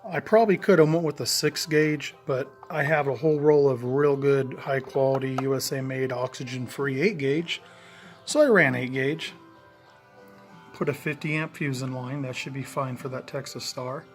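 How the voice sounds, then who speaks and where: A man's voice: mid-range voice, moderate pace, soft background music. A middle-aged man giving a casual video walkthrough as a DIY installer, talking in a plain-spoken, matter-of-fact, folksy way. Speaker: DIY installer presenting own work. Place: in and around a parked vehicle.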